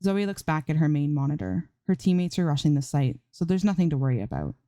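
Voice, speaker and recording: A woman's voice: deep voice, animated, fast talker, mellow, no echo, studio quality. A woman reading a story aloud as an amateur narrator, in scripted prose. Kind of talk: scripted fan fiction narration.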